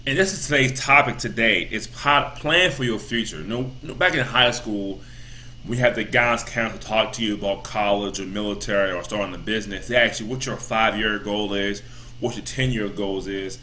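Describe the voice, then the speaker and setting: A man in his thirties talks casually to his viewers, rambling and circling back on his points with plenty of fillers. His voice has a bright, forward edge, with a faint hum of room ambience underneath. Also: fairly low pitch, moderate pace. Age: 30s. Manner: rambling, repeats himself, many "you know" fillers. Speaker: vlogger. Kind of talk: casual motivational talk to camera.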